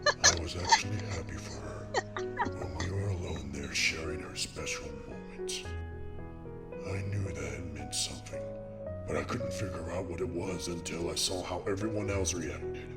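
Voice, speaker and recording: A man's voice: deep voice, normal speed, vocal fry. A man voicing a brooding young male unicorn who tells his own story in anguished, dramatic fashion for a fan comic dub. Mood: bitter, brooding. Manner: dramatic, heavy, confessional delivery. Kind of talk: scripted first-person narration, fan comic dub. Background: loud music.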